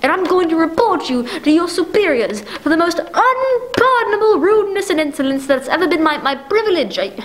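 Woman acting a high-pitched, frantic, stammering wife. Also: very animated, brisk, few pauses. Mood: indignant. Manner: breathless, stammering, indignant and panicky. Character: frantic, imperious, nervous invalid wife on the telephone. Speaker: amateur actor in a stage adaptation of a radio play. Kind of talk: 1940s radio melodrama acting. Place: dark stage, indoors. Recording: clearly noisy amateur stage recording.

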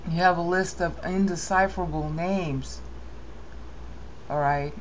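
A woman with a low, dark voice talks through a screen-recorded software tutorial in a rambling, opinionated way, stopping to correct herself as she goes. The sound is muffled and lo-fi, with a faint hiss underneath. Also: fairly even intonation, unhurried.

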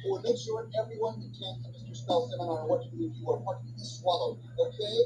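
Brief: an anxious animated cowboy toy, high pitched and lively, strong background music. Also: male voice, fast talker, gravelly, full character voice, rough recording. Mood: flustered. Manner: fretful, frantic, then apologetic. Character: anxious male cowboy toy, sheriff type. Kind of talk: animated film dialogue.

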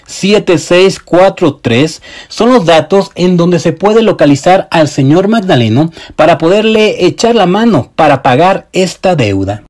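A man's voice: fairly high pitch, a little mellow. A male news reporter reading a report as a voice-over.

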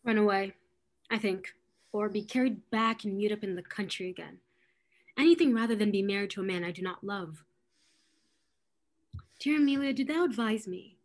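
A young woman playing an arch, quick-witted young lady of a period stage comedy, defiant about marriage. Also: mid-range voice, close to an everyday voice. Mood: anxious, defiant. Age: young adult. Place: indoors, on a video call.

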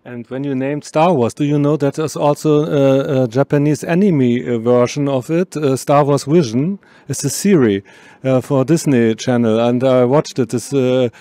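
Enthusiastic German-native interviewer, dry sound. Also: male voice, a little high, warm tone, no room sound. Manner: enthusiastic, rambling. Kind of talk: festival interview. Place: small room at a film festival. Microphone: handheld mic.

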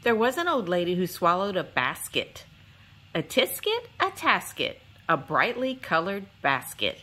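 A woman reads a picture book aloud for children. Her voice is bright and clear-cut, and her pitch moves up and down in an animated way. She keeps a rhythmic, sing-song storytelling delivery. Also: measured pace. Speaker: read-aloud channel host.

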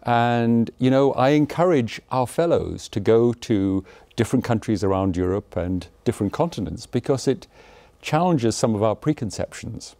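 A man's voice: medium pitch, lively intonation, moderate pace, mellow, no echo. A man in his sixties, a cardiology professor, talking thoughtfully about his career in a studio interview, with frequent hesitations and self-deprecating humor. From Zimbabwe and Malawi. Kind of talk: relaxed conversational interview. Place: studio set with a blue backdrop. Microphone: lapel mic.

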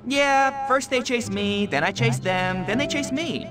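A man voicing a cheerful, wisecracking yellow cartoon hero in a video game, with breezy sarcastic quips. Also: very high voice, unhurried. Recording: loud game music over voice.

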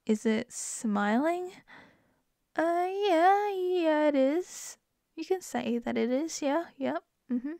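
A woman's voice: high voice, animated, slow pace, gravelly, warm tone, dry recording. A woman with an Australian accent in a close-mic ASMR roleplay as a wife, playful and giggly.

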